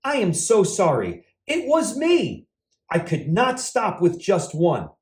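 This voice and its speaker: A man's voice: sits high, huge pitch swings, takes it slowly, a little mellow, distant mic, exaggerated voice. A man voicing a greedy, remorseful toad in a children's storybook. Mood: sorry, confessing. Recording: roomy echo.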